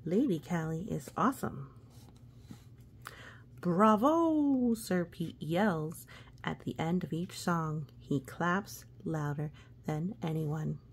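A woman's voice: low voice, slow, slightly rough. A woman reading a children's story aloud with playful, exclamatory asides, talking as if to a young child. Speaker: picture book reader.

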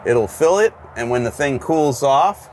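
A man's voice: medium pitch, animated, a little slow, darkish tone. A man in his fifties, a host talking casually and joking while he shows a roadside car fix outdoors. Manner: easygoing, joking, explains while working. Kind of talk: casual garage how-to chat. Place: outdoors by a car, then inside the car. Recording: outdoor background noise.

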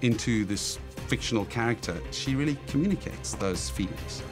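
Middle-aged man, mid-pitched. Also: lively intonation, moderate pace. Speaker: film director. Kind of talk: behind-the-scenes interview. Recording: faint music behind the voice.

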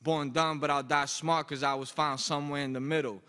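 A young man speaks in a bright, forceful voice pitched a little high. He delivers like a spoken-word poet, rhythmic and emphatic. His voice rings out through a microphone with the long reverb of an auditorium. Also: little pitch movement, fairly quick, smooth. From Boston, United States. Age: young adult. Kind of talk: live spoken-word poetry performance. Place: large auditorium stage, indoors. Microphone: microphone on a stand.

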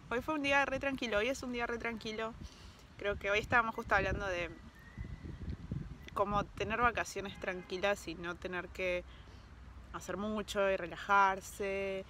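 A relaxed woman in her thirties with a Rioplatense Spanish accent, talking easily into a phone held at arm's length. She chats like a travel vlogger, pausing to hunt for the right word. Faint outdoor noise from the park sits behind her voice. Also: mid-range voice. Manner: relaxed, chatty, searching for words. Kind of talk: casual travel vlog chat.

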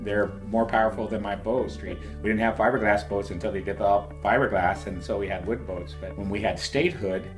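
Older man, low voice, commercial fisherman, halting interview answers, faint guitar underneath. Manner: hesitant, with frequent fillers. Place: indoors, at a desk in an office.

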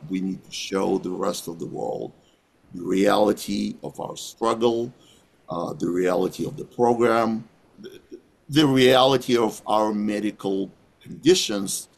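A middle-aged man co-hosting a podcast over a video call, talking in an earnest, measured way from a small room. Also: lowish voice, vocal fry. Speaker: podcast co-host. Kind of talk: casual video-call chat.

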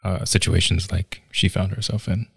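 A man's voice: low voice, little pitch movement, moderate pace, creaky, slightly rough, no room sound, studio quality. A young man co-hosting a home podcast, joking around in laid-back banter. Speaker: podcast co-host. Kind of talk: casual chat. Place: home living room by a staircase. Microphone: studio mic on a desk stand, headphones on.

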